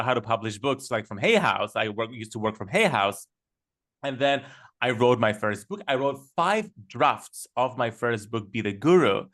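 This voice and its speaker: A man's voice: mid-range voice, fairly bright tone. Enthusiastic man. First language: Greek. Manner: enthusiastic, storytelling, quick with fillers. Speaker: guest. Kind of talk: casual podcast conversation.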